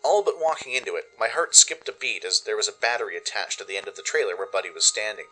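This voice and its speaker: A man reading scripted first-person story narration in an expressive, dramatic way, as the narrator of a radio play. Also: lowish voice, fast, rough recording.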